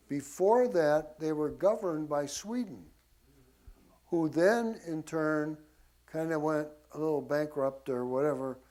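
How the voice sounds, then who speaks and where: A man with a somewhat high-set voice teaches history to a group. He speaks informally and in a folksy way, dropping in casual asides to his listeners. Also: mellow. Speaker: church class teacher.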